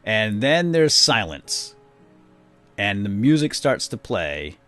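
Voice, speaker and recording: Man, deep voice, lively swings, rambling gameplay storyteller. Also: slow, soft background music. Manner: rambling, many fillers, lively retelling. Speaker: let's play host. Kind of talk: casual gameplay commentary and storytelling.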